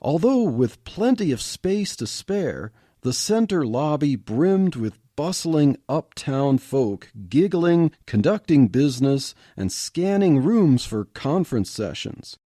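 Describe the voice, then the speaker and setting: Man narrating a story, slow and expressive, warm low tone, dry close mic. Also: no room sound. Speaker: podcast host and storyteller. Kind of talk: reading a story aloud.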